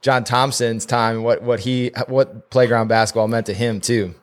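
A man in his forties hosting a casual podcast interview, asking questions with friendly quick asides. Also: medium pitch, fairly even intonation. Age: 40s. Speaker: podcast host.

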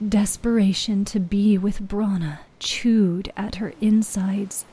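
A woman's voice: mid-range voice, steady tone, takes it slowly, mellow, husky. A woman narrating scripted fiction in a dramatic storytelling style. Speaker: author and narrator.

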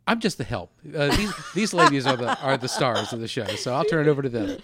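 A man's voice: medium pitch, very animated, fairly quick, a crowd in the background. A man of fifty-eight from Virginia, the podcast's technical co-host, with modest, self-deprecating humor. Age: 50s. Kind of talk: casual chat.

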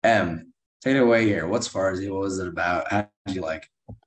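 An American man with a slightly hoarse voice, co-hosting an upbeat review podcast in conversational style. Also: deep voice, brisk. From United States. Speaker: co-host of a film and TV review show. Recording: roomy echo.

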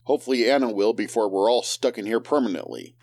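A man playing a curt, stiffly formal character in an audio drama, clipped and deadpan. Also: mid-range voice, quick pace, dry recording, lightly acted. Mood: curt, dry.

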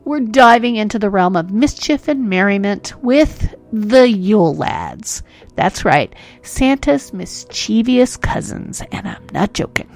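A woman's voice: medium pitch, expressive, a little slow, vocal fry, darkish tone, soft background music. A woman hosting a podcast who narrates history in a playful, self-mocking way full of jokes. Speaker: podcast host.

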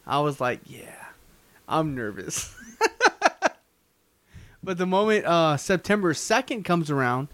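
A man's voice: on the high side, animated, slow, pauses often, fairly bright tone. A young man co-hosting a podcast, joking and teasing his friends in a casual chat. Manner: joking, teasing, laughs a lot. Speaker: podcast co-host.

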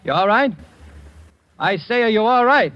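Brisk engineer, high-pitched voice. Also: male voice, lively intonation, no echo. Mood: puzzled, brisk. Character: brisk, puzzled male engineer. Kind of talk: 1940s radio acting. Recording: dull, hissy 1940s radio recording.

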